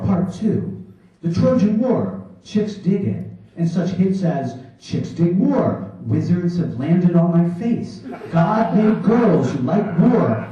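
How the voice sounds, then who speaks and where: A man performs poetry on stage in a declamatory, mock-serious way, his fairly high voice swinging widely in pitch. The sound is distant and very echoey, like a theater captured from the seats. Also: warm tone. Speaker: poet reading on stage. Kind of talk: theatrical live poetry reading. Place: small theater in New York, before a laughing audience. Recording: echoey hall, distant dull microphone.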